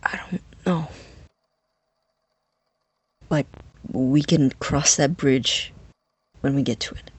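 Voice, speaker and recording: A man playing a nervous, obsessive, awkwardly eager young student scientist in an audio drama, stammering in a breathy, husky voice. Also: mid-range voice, slow pace, long pauses. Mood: pensive, uncertain. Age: young adult. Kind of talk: naturalistic audio drama acting.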